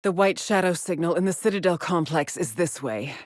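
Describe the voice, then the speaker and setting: An actress playing a haunted, determined space explorer stranded on an alien world, speaking a grave, introspective video game monologue with a husky, breathy voice. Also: female voice, lowish voice, animated, dry recording, studio quality, close to an everyday voice. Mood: focused, analytical. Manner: measured, introspective, grave, with sighs. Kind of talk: scripted video game monologue, solitary log narration.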